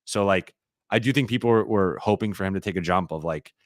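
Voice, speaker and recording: A man hosting a live-stream Q&A, talking casually and rambling with lots of fillers and restarts, his voice a little raspy. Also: sits low, fairly quick, dry recording, clean studio sound. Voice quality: slightly raspy voice.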